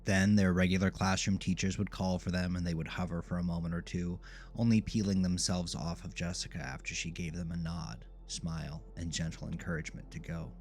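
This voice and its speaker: A man narrating a scripted horror audio drama in a measured, literary storytelling manner. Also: low voice, level tone, darkish tone. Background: music under the voice.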